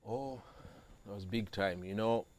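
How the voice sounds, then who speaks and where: Deep-voiced man, halting and repetitive. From Uganda. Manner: halting, repeats and restarts phrases, grows animated on liturgy. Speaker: church music director. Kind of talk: studio interview guest. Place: TV studio.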